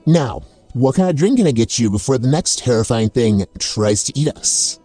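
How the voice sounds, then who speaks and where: A man's voice: medium pitch, lively intonation, moderate pace, mellow, faint music behind, natural voice. A man playing a chaotic, oversharing fantasy tavern keeper who tells tall tales in a theatrical, wry, self-deprecating way. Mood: welcoming, mischievous. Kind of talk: dramatic first-person comic storytelling.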